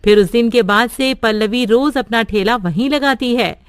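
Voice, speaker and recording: A woman narrating a cartoon story in a plain, steady way. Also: a little high, moderate pace, clean tone, dry recording, studio quality. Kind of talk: scripted narration.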